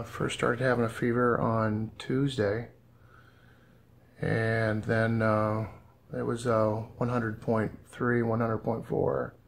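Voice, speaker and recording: A man in his fifties, congested and a little rough, talks in a halting, low-key home video diary, filmed on a phone at arm's length in his living room. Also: darkish tone. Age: 50s. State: congested, stuffed up. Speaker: vlogger. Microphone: phone held at arm's length.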